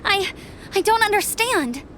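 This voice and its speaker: A woman's voice: very high-pitched, expressive, a little slow, fairly bright tone, slight background noise, exaggerated voice. An actress playing an anxious, polite young woman in an anime video game. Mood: confused, worried.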